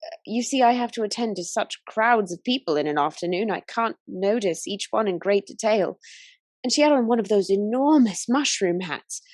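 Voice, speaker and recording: A woman's voice: medium pitch, animated, normal speed, no echo, close to an everyday voice. A woman playing an earnest, chatty young Edwardian waitress in a radio play, telling things in careful detail. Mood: helpful, apologetic.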